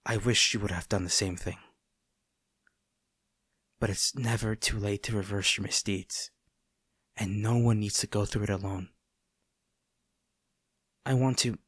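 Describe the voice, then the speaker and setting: A man playing a conflicted heroic captor in a dramatic audio roleplay, earnest and halting, tender toward an old friend turned enemy. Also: lowish voice, a bit bright, breathy edge, no room sound, studio quality, exaggerated voice. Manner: earnest, halting, formal wording with dramatic pauses.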